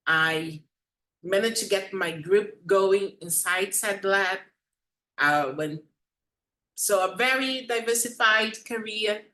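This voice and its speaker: A woman's voice: mid-range voice, bright, forceful tone. A woman in her late forties, not a native English speaker, speaking earnestly as a panelist in a webinar discussion, with frequent hesitant fillers. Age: 40s.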